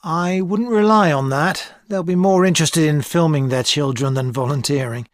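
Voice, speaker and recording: An actress playing an experienced tutor advising a student in a scripted exam dialogue, calm and measured. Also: female voice, deep voice, no echo, close to an everyday voice. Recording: dry studio recording.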